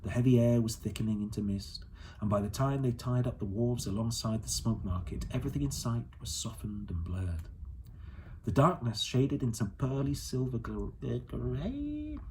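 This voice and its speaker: A man's voice: medium pitch, animated, normal speed, a little mellow, a little hiss or hum, low-quality recording. A man reading a storybook aloud as an audiobook narrator. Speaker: audiobook reader.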